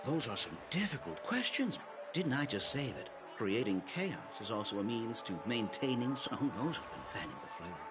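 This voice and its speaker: A man's voice: medium pitch, very animated, moderate pace, lightly acted. An actor voicing a knowing male patrol guard in a video game, with a dramatic, insinuating way of talking. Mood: conspiratorial. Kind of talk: video game dialogue acting. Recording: voice over game music. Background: clear background music.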